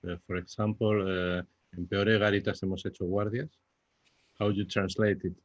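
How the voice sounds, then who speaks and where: A man with a Spanish accent speaking halting English, searching for words as he answers as a playwright in a Q&A. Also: sits low, little pitch movement, no echo, lo-fi sound. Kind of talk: Q&A discussion.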